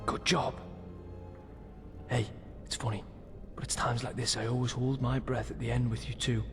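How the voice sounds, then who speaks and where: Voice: medium pitch, little pitch movement, normal speed, lightly acted. An actor playing a bold, friendly companion fighter in a fantasy video game. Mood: teasing, friendly. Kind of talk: video game character acting. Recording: game music over the voice.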